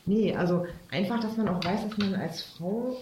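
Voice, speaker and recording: A middle-aged woman from the Sauerland in Germany, a police officer, talking in a lively, chatty way with many fillers in an interview. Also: medium pitch, moderate pace, noisy background. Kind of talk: casual interview talk. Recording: echoey room.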